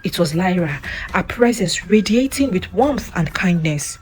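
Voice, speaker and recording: A woman narrating a scripted folktale in an expressive, theatrical way. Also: fairly low pitch, a little slow. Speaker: narrator, storyteller. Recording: music under the voice. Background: background music.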